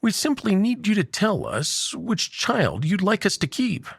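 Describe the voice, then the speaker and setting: A jovial, very old doctor from a science fiction story, acted by a male narrator. He pronounces things grandly and genially, in a lifted, very animated voice with a dry, close sound. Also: high-pitched, unhurried, vocal fry, breathy edge, dry recording, pitched above the performer's own voice, heavily acted. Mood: warmly congratulatory.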